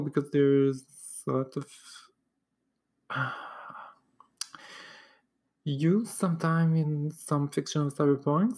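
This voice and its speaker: A woman's voice: low voice, darkish tone. A woman from Montreal with a Québécois French accent, a native French speaker, hosting a solo podcast in English and talking hesitantly with many fillers and restarts. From Quebec, Canada. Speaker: podcast host.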